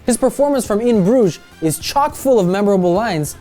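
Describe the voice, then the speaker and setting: A woman's voice: mid-range voice, lively intonation, moderate pace, mellow, soft background music. A woman presenting a scripted countdown list with chatty, opinionated pop-culture commentary.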